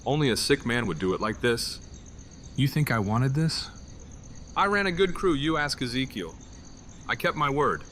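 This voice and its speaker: An actor playing a blustering villainous gang boss, cornered and desperate, in an audio drama. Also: male voice, medium pitch, sing-song, moderate pace, pauses often, fairly bright tone, slight background noise. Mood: defensive pleading. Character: desperate, blustering male villain henchman leader, cornered and pleading.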